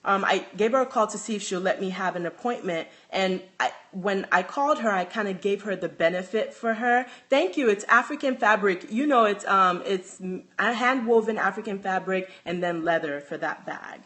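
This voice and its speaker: A woman's voice: medium pitch, normal speed, hardly any pauses, a bit bright. Young Nigerian-accented woman, casual phone livestream host, chatty, loses her thread and restarts. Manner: chatty, restarts often, reads out comments. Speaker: handbag designer hosting a livestream. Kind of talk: casual livestream chat answering viewer comments.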